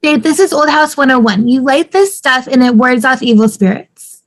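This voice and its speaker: Upbeat young wife, read by a woman, high register, casual enthusiasm, sitcom script. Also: normal speed. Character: upbeat young wife excited about inherited house.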